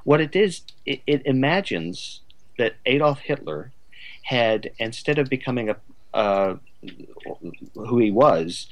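A man speaking as a podcast guest, casual and thoughtful, halting often as he gathers his ideas. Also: mid-range voice, expressive. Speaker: podcast guest, playwright and author. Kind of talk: casual conversational discussion.

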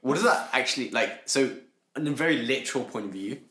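A young man co-hosting a casual podcast chat, lively and questioning, with many fillers. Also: mid-range voice, quick pace. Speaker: podcast co-host. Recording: echoey room.

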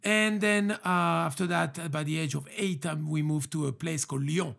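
A man's voice: fairly high pitch, steady tone, moderate pace, gravelly, a bit bright. A man with a French accent, hosting a casual interview and talking with many hesitations and restarts. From France.

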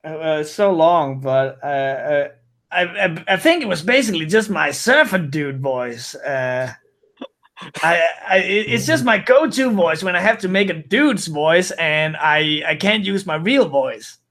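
A man's voice: fairly high pitch, animated, measured pace, fairly bright tone. A young man from Denmark with a Danish accent, chatting casually, often laughing and stumbling over restarts. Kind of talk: casual conversation.

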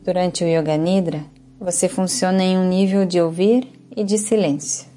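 Mellow, low-voiced Brazilian woman guiding relaxation. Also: soft background music. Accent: Brazilian Portuguese. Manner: calm, soothing, unhurried instruction. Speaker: yoga nidra instructor. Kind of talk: guided relaxation meditation.

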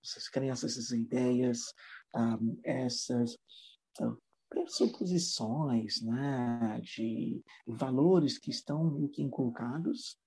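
Mellow, husky teacher, hesitant. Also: male voice, medium pitch, slow pace, rough recording. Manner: hesitant, many fillers and restarts. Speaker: English teacher. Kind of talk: casual interview talk.

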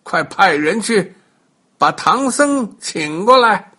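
A man's voice: high voice, lively intonation, slow, bright, forceful tone, slightly rough, pitched above the performer's own voice, heavily acted. A man voicing a scheming, greedy male Taoist sorcerer who gloats in a raised voice. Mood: gloating.

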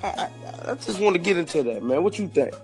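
A man in his fifties co-hosting a podcast, hoarse from a cold, laid-back and quick to laugh. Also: high voice, lively intonation, loud music behind the voice. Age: 50s. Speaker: podcast co-host. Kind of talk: casual podcast banter.

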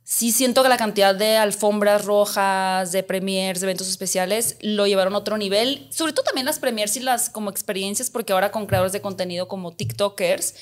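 A woman's voice: medium pitch, brisk, few pauses. A woman from Mexico with a Mexican accent, hosting an interview show in a conversational style.

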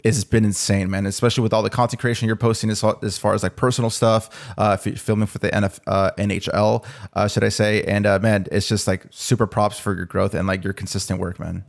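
Man, podcast host, low gravelly voice, laid-back slangy chat. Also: level tone, fairly quick, hardly any pauses. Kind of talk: casual interview chat.

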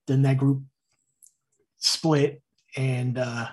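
Husky soft-spoken man on a headset. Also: mid-range voice, flat delivery, very slow. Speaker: player on the stream. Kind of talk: casual stream chat.

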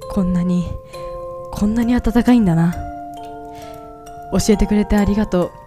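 A brooding young man with a dark past as acted by a woman speaks over clearly audible music. He is cool and reserved, taking his lines slowly, with a wistful edge suited to a homemade radio drama. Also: mid-range voice, lightly acted. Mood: quietly moved. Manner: slow, reserved, terse, wistful. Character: cool brooding young man, former member of shady organization. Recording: music behind.

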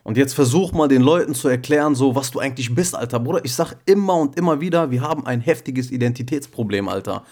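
A man's voice: medium pitch, animated, fast talker, few pauses, a little mellow. A young man co-hosting a casual podcast chat, talking in street slang and often asking if the other man gets what he means. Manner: slangy, keeps calling the other man bro and checking he follows. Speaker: podcast co-host.

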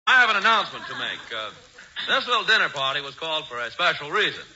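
A man's voice: on the high side, big pitch swings, fast talker, sharp tone, exaggerated voice. An actor playing a pompous, smug rich man who hosts a party, in a 1940s radio sitcom. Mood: pompous, announcing. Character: pompous rich snob, smugly playing dinner-party host. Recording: muffled, noisy 1940s radio broadcast.

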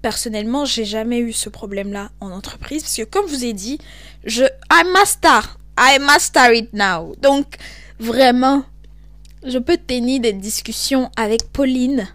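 A young woman from Cameroon with a Cameroonian French accent hosts a podcast, talking in an animated, emphatic way. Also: fairly high pitch, a little slow, dry recording. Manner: animated, emphatic, switches into English. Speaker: podcast host. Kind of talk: casual monologue.

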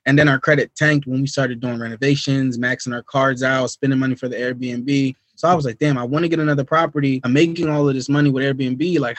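A man's voice: mid-range voice, fairly bright tone, no echo. An American man from New Jersey telling his story as a podcast guest in a casual, slangy way. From United States, New Jersey. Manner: informal, slangy, repetitive check-in phrases. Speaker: podcast guest, real estate investor.

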